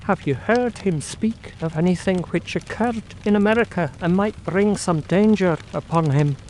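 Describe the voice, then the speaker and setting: A performer acting a police inspector in a period mystery drama. The voice is mellow and soft-edged, probing yet courteous and formal, with some noise behind it. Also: medium pitch, normal speed, close to an everyday voice. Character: polite, probing male police inspector questioning a witness.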